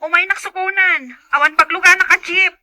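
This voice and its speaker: A woman's voice: extremely high pitch, fairly even intonation, fairly quick, pushed and bright, no echo, exaggerated voice. A woman playing a bossy, nagging wife in an Ilocano audio drama, demanding in manner, with an Ilocano accent. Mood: angry nagging.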